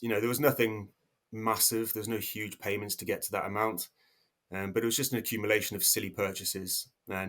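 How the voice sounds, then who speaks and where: A man's voice: fairly low pitch, a bit bright. A man in his thirties who grew up in South Africa but now sounds English, talking casually as a podcast guest with frequent fillers and restarts. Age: 30s. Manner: hesitant, many restarts and fillers. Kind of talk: casual interview talk.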